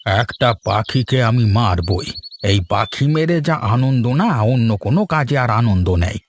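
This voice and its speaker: A man's voice: mid-range voice, animated, measured pace, slight background noise. A man playing a brazen, scheming troublemaker in a cartoon story, with a smug, drawling manner. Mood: gleeful, smug. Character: brazen, scheming troublemaker relative visiting the village.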